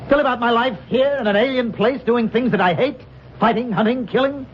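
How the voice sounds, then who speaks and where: A man's voice: very high voice, brisk, a little hiss or hum. An actor playing a troubled, despairing man who refuses to fight, in earnest old-time radio drama style. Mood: anguished. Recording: dull lo-fi old radio recording.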